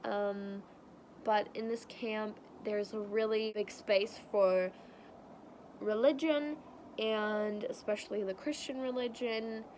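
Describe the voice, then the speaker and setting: A woman's voice: medium pitch, fairly even intonation, takes it slowly, a little mellow. A young woman from Germany with a German accent, chatting casually in a vlog and rambling with lots of fillers. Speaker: vlogger. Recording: faint background noise.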